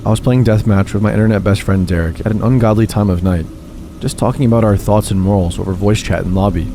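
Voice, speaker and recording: A man narrating first-person gaming horror stories in a steady, measured reading. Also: lowish voice, slight background noise. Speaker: channel host reading submitted stories. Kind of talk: scripted horror story narration.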